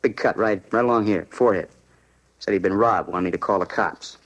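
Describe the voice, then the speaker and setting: Fast-talking, chatty American barkeep, acted by a man. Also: on the low side, fairly bright tone, lightly acted. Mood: matter-of-fact. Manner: talkative, slangy, rambling. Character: chatty, eager, street-talking male bar owner. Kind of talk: 1950s radio crime drama acting.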